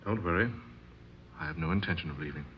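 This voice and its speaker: An actor playing a dry, stubborn, sceptical husband in a radio drama, with sardonic delivery. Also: male voice, deep voice, fast talker, a little hiss or hum, close to an everyday voice. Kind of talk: old-time radio drama acting. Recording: dull lo-fi radio recording.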